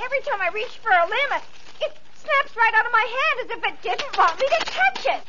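An actress playing a spoiled, squabbling sister in an old radio play. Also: female voice, extremely high pitch, brisk. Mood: peevish. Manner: peevish, excitable. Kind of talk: 1940s radio acting. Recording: lo-fi old radio recording with hiss.

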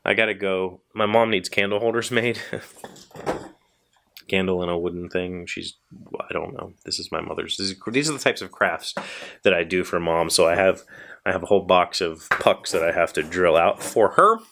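Low male voice, American accent, forties, casual video host, rambling, self-deprecating. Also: moderate pace, creaky, fairly bright tone, slight background noise. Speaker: host of a daily video show. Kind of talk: casual chat to viewers. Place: small indoor workshop crowded with tools.

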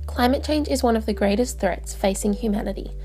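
A woman's voice: fairly high pitch, brisk, mellow, soft background music. A young adult woman reading scripted narration.

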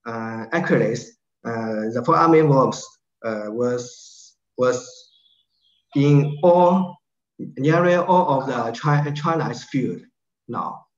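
A man with a Chinese accent answering questions on a video call, halting and full of fillers as he searches for words in English. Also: mid-range voice. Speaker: invited speaker, agricultural researcher. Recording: dull lo-fi call audio in an echoey room.

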